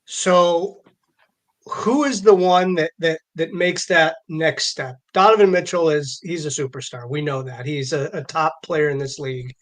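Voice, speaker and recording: A man's voice: on the high side, unhurried, a bit bright. A man co-hosting a sports show, putting casual interview questions to a guest.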